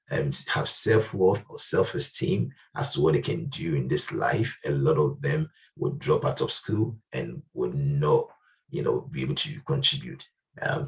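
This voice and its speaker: Man, extremely deep voice, gravelly, far from the mic, hesitant, many ums, video call. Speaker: charity presenter. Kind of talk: online presentation.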